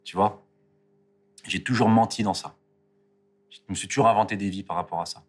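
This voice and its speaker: A man's voice: on the low side, fairly even intonation, normal speed. A man from France giving a candid, hesitant interview about his past. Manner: candid, hesitant, self-critical. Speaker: documentary subject. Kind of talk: reflective interview.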